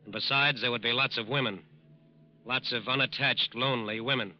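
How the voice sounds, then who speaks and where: A man's voice: mid-range voice, steady tone, brisk. Dramatic old-time radio narrator, man. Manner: emphatic, dramatic repetition. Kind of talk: 1940s radio crime-drama narration, scripted. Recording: dull old radio recording. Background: music.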